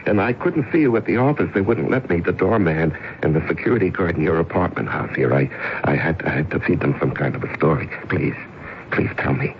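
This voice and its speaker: An American actor playing a middle-aged office man in a radio drama, pleading and obsessive, with a halting, emphatic delivery. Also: low voice, animated. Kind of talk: 1970s radio drama acting. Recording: muffled, hissy old radio recording.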